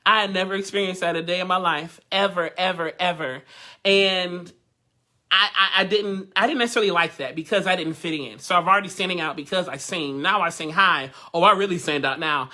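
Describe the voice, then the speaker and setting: Man, high voice, nasal twang, bright tone, casual teaching. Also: a little fast. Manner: chatty and candid, addresses the group directly. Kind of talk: live online vocal workshop. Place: living room at home.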